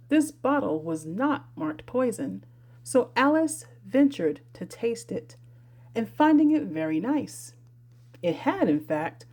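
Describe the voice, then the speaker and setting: A woman narrates a children's storybook with lively, varied intonation. Her voice is mellow and a little husky, sitting at an ordinary pitch for a woman. She reads as an audiobook storyteller. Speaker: audiobook reader.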